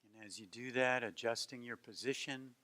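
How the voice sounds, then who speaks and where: Man gently guiding a meditation. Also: mid-range voice. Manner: gentle, unhurried phrases with long pauses. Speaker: meditation teacher. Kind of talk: guided meditation.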